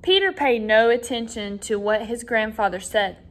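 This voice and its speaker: A woman reading a children's story aloud as a teacher, with expressive, dramatic emphasis for young listeners. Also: a little high, normal speed. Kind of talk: animated storybook read-aloud.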